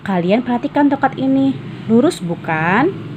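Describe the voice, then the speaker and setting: A woman's voice: a little high, lively intonation, moderate pace, highs cut off, noisy background, voice pushed up, lightly acted. A woman voicing a wise, kindly sheikh who teaches patiently and instructively in a storybook read aloud. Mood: patient teaching. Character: wise, kindly male sheikh advising two boys.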